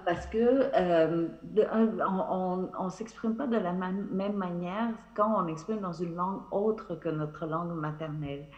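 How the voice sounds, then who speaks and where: A woman's voice: deep voice, a little mellow. Hesitant woman on a roomy stage. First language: Vietnamese. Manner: hesitant, many fillers and restarts. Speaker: author on a festival panel. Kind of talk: live panel discussion. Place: theatre stage. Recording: roomy, dull sound.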